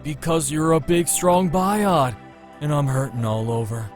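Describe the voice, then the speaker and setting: A man's voice: fairly high pitch, measured pace, natural voice. A man voicing a cocky, playful male love interest in a visual novel, teasing and breezy, over music. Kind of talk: visual novel character reading.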